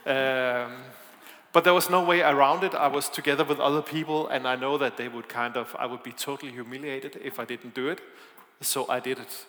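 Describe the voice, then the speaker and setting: A man's voice: medium pitch, steady tone, brisk, fairly bright tone. A man from Denmark with a Danish accent preaching a sermon, telling personal stories in a chatty, self-deprecating way and laughing often. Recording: echoey hall with long reverb.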